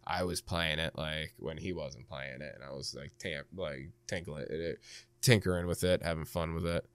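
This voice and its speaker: A young American man with a deep voice rambles about video games like a keen fan. His talk is informal and peppered with swearing. It is recorded very dry. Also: moderate pace, smooth, no room sound.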